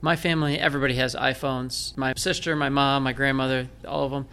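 A man's voice: mid-range voice, flat delivery, fast, pushed and bright, clean tone. A man with a Northern Michigan accent, telling his story in a conversational interview with self-deprecating jokes. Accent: Northern Michigan American. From Michigan, United States. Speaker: guest, blind military veteran.

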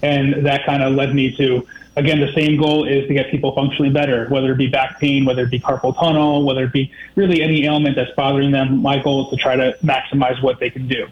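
A man's voice: mid-range voice, fast talker. Man in a roomy, dull remote feed. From Connecticut. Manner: relaxed, friendly, many ums. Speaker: physician guest. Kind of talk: casual interview.

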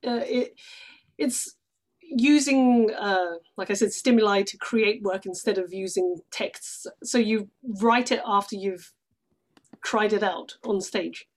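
A woman's voice: medium pitch, slow. A woman talks as a guest in a casual interview. She explains things conversationally, in a mid-range voice. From London, England. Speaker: interview guest, stage actress.

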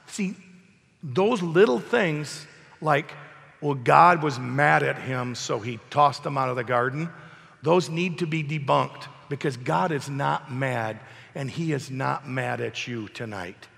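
Hoarse pastor guy preaching hard, gravelly voice, big echoey church. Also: medium pitch, slow, fairly bright tone. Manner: emphatic, asks the congregation questions. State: hoarse from a cold. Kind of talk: sermon. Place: church hall.

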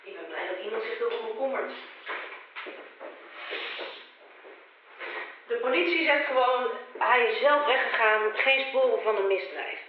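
A young woman playing the worried, loyal sister of a missing man in an audio drama, talking casually and haltingly on a roomy, thin recording. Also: on the high side, a little hiss or hum, lightly acted. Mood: worried, indignant. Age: young adult. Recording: roomy, thin phone-like old tape.

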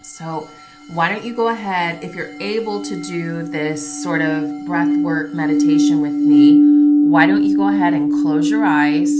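A woman with a slightly congested voice, hosting in an unscripted, instructive way with guided breathing and casual personal talk. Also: fairly low pitch. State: slightly congested voice after illness. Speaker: podcast host and meditation guide.

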